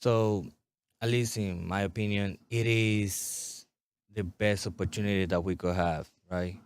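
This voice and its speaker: Deep-voiced eager army recruiter man. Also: steady tone, a little slow, clear, resonant tone, no room sound. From Puerto Rico. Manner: eager, loose and conversational, tag questions like "right?". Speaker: army recruiter, interview guest. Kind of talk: casual interview.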